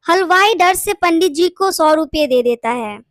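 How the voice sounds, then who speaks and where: A woman's voice: very high voice, animated, measured pace, no room sound, studio quality. A woman reading scripted narration for a children's bedtime story.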